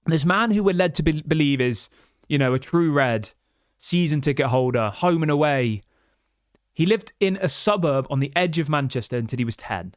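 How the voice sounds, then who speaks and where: A man's voice: a little high, expressive, normal speed, no room sound. Passionate ranting man on a dry mic. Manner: passionate, ranting, many restarts and rhetorical questions. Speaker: football fan channel host. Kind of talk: livestream rant reading viewer chats.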